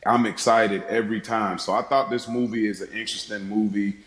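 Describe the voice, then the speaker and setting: A roomy, echoing recording of a man as a podcast guest. He chats casually in a fairly low-pitched voice. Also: steady tone, a little fast, a bit bright. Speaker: podcast guest, fellow podcast host. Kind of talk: casual chat.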